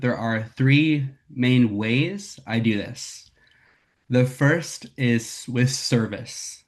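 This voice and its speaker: A young man from Northern California, raspy from a cold, talking candidly to his team over a video call with lots of "um" and "like". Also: mid-range voice. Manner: candid, filler-heavy, says "like" and "um" a lot. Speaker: sales rep presenting to a team. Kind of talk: casual talk on a video call.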